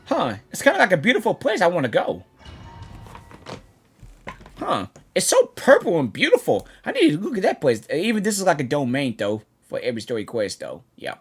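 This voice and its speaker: A woman's voice: fairly low pitch, huge pitch swings, brisk, breathy edge. A woman streamer giving casual, rambling reactions to a game as she plays, often repeating her words. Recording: game music behind voice.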